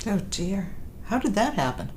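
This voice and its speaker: A woman's voice: mid-range voice, sing-song, normal speed, darkish tone, slight background noise, close to an everyday voice. An actor playing a woman carpenter with a numb hand after surgery, giving short, anxious answers in a training dramatization. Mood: worried. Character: woman carpenter patient, anxious about her injured hand. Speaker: standardized patient actor.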